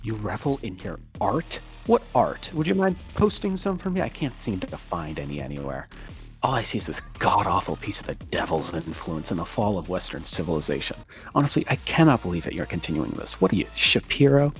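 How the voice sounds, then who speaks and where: Guy doing a disgusted pompous online arguer, lowish voice swinging all over, super dramatic, music behind. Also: sing-song, a little fast. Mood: indignant. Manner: pompous, scornful, overwrought. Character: pompous, outraged male internet commenter, disgusted and theatrical. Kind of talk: dramatic reading of an internet comment argument.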